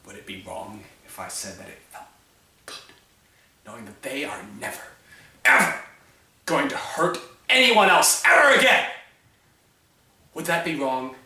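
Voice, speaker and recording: A man's voice: medium pitch, animated, normal speed, lightly acted. A man playing an anguished, vengeful time-travelling alien war survivor in an improvised fan-film monologue, with an intense delivery. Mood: grimly defiant. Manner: intense, swinging from hissed whispers to shouts. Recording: roomy, slightly distant microphone.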